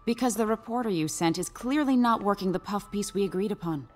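An actress playing a polished, guarded woman art dealer in a video game, with a smooth, refined manner that turns curt when pressed. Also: mid-range voice, expressive, a little fast, a little mellow, soft background music, lightly acted. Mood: angry. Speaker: art auction house owner.

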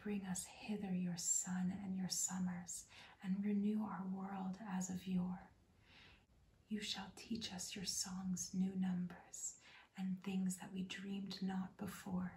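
A woman hosting an ASMR channel, reading a rhyming poem in a soft, unvoiced whisper throughout. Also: medium pitch, level tone, takes it slowly, echoey room. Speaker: host.

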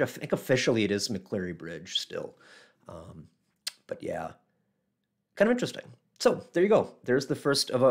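A man in his thirties with a hoarse, scratchy voice from a cold, hosting a casual local history livestream from a small office on a webcam mic, rambling with many ums and restarts. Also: on the low side, a little mellow.

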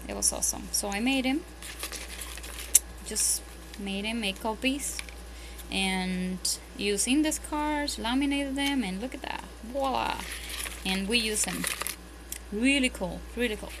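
A woman's voice: fairly high pitch, a little slow, long pauses. A homeschooling mother with a Spanish accent, whose first language is Spanish, talking casually and earnestly at home with lots of ums and restarts. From Spanish-speaking country. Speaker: homeschooling parent. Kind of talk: casual tips vlog. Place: home, at a table, indoors. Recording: audible background noise.

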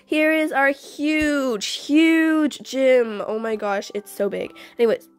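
High-voiced chatty young woman. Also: fairly even intonation, slow, no echo, soft background music. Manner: chatty, informal, self-interrupting. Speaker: video creator. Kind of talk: casual game house-tour voice-over.

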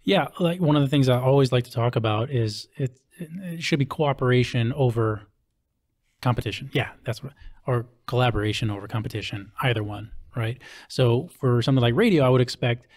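A man's voice: medium pitch, brisk. Middle-aged man hosting a casual podcast. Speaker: podcast host. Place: indoor podcast studio. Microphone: close studio mic on stand, headphones.

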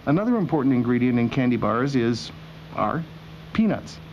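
Male documentary host, mid-pitched, deadpan scripted narration, dry humor, muffled old video sound, noticeable machinery noise. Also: moderate pace. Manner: wry, deadpan.

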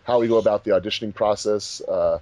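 A man's voice: lowish voice, steady tone, fast talker, warm tone, dry recording. A man hosting a casual group commentary, chatting informally. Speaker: host of a fan dub commentary track.

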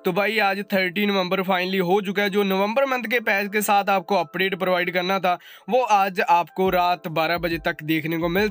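A man's voice: sits high, fairly bright tone. A man delivers a tech update voice-over. He talks informally to his viewers as a channel host, dropping in English terms, over faint background music.

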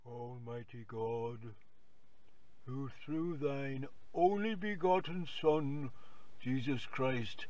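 A man reading scripture and prayers in a measured, reverent and formal liturgical style. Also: medium pitch, a little mellow, far from the mic, poor audio.

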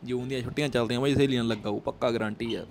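A man's voice: medium pitch, steady tone, rapid-fire, over music. A man, bantering casually as a prank-call vlogger. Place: small indoor gaming room.

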